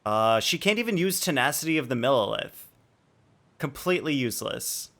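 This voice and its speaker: A man's voice: medium pitch, lively intonation, normal speed, gravelly, fairly bright tone. A man livestreaming a video game, chatting casually and rambling as he thinks aloud. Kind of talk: casual live gaming chat and commentary.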